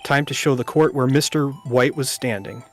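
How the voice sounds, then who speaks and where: A man's voice: mid-range voice, moderate pace, soft background music, voice pushed down, natural voice. A man voicing an earnest, confident young defense lawyer with emphatic delivery, as in an amateur game dub.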